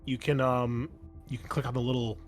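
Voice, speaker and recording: A man acts as game master at a tabletop roleplaying game. His voice sits at a medium pitch. He moves between narrating the scene and explaining rules, with casual, slightly hesitant asides. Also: flat delivery, fairly quick, soft background music.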